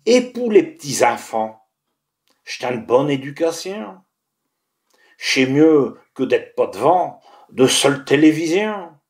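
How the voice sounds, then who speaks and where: A man's voice: on the high side, big pitch swings, takes it slowly. A man with a Picard accent from the Tournai area, reciting a monologue in dialect. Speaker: monologue performer.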